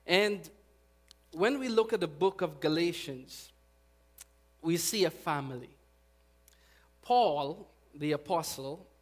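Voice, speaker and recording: A pastor from Guyana whose Guyanese accent colours every line of his sermon. His voice sits a little high for a man. He moves from hushed, prayerful passages to loud, emphatic preaching.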